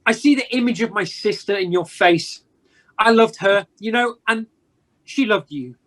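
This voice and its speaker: An actor playing a reformed old miser uncle in an amateur radio play, speaking in a theatrical, deliberate way over a home video call. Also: medium pitch, a bit bright, lightly acted. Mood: tender, repentant.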